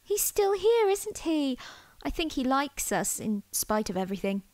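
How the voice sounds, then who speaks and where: Earnest dramatic girl housemate, high voice, really swoopy, radio play. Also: very animated, unhurried, a little mellow, dry recording. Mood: tender. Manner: melodramatic, accusing. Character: earnest, indignant, sentimental young female housemate. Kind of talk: audio drama acting.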